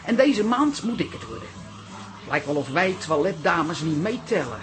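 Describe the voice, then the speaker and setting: Comic radio drama: ambitious, gossipy female toilet attendant, self-important, very lively intonation. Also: on the low side, moderate pace, over music. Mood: proud, aggrieved. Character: vain, ambitious, chatty toilet attendant, an auntie.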